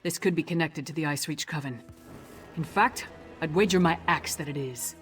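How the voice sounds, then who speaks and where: A woman's voice: sits low, moderate pace, soft background music, close to an everyday voice. An actress playing a blunt, hot-tempered but dutiful warrior woman in a video game, forthright and plain-spoken. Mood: grim, resolved. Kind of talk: scripted video game dialogue.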